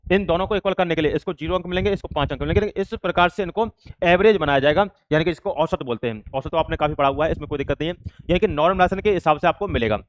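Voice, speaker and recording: A man's voice: on the high side, no room sound, highs cut off. A man teaching exam students in an informal way, explaining step by step with repeated examples.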